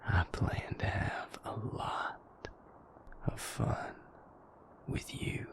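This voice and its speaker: A man voicing a sadistic, mocking captor who gloats over a fallen prince in an audio drama, in a slow, taunting whisper. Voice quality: creaky, whispery.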